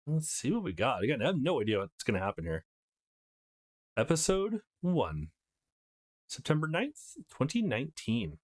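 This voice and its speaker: A man presents a video game playthrough in an informal, upbeat way. His medium-pitched voice moves up and down a lot, giving the commentary an animated feel. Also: normal speed, long pauses. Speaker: let's player host. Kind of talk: casual let's play commentary, reading game text aloud.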